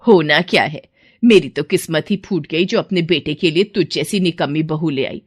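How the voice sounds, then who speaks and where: A woman's voice: low voice, normal speed, slightly rough. A woman voicing a bitter, elderly mother-in-law who scolds and taunts in a story. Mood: scornful. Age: older.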